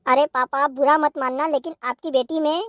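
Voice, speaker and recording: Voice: sits high, fairly even intonation, a little fast, a bit bright, no room sound, clean studio sound. A performer voicing a henpecked, wisecracking young husband in a cartoon comedy, placating and grumbling. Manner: placating, mock-poetic, grumbling.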